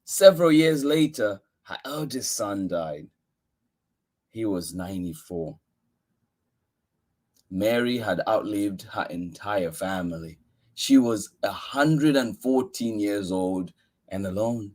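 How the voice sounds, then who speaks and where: Male story-time host, unhurried delivery, reading a picture book with dramatic, expressive emphasis. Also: fairly low pitch. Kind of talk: expressive read-aloud storytelling.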